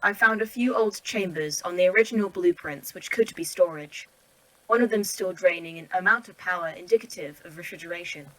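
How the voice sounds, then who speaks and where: A woman plays a calm artificial intelligence running a secret facility, speaking in a clinical, detached manner. Her voice sits a little low and steady, like a character in a sci-fi horror audio drama. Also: brisk, crowd noise, natural voice, poor audio. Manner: clinical, detached, matter-of-fact. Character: calm precise female facility AI.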